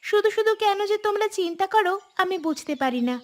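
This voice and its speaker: A woman voicing a caring young daughter in a cartoon, speaking in an earnest, gently firm way. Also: extremely high pitch, lively intonation, fast talker. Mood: reassuring, firm. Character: caring young unmarried daughter, practical and reassuring. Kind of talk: cartoon dialogue.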